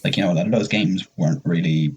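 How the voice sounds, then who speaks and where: A man's voice: sits low, fast talker, a little mellow. A man chatting casually as a podcast panelist, often dropping "like" into his sentences, with noise behind his voice. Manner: peppers speech with "like". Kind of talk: casual chat.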